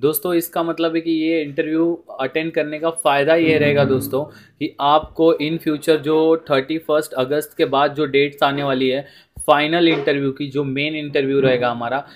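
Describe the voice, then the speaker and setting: Indian man explaining in an even tone. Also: on the high side, a little hiss or hum. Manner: repetitive, often addresses listeners as friends, mixes in English words. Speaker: presenter of a job information video. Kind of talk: informal explainer voice-over, addressing viewers.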